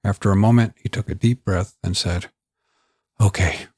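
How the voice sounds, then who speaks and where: A man reading scripted crime fiction in a measured, audiobook-style storytelling delivery. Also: on the low side, steady tone, mellow, no echo, clean studio sound. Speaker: narrator of a serialized crime story. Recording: music bed under the voice. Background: music.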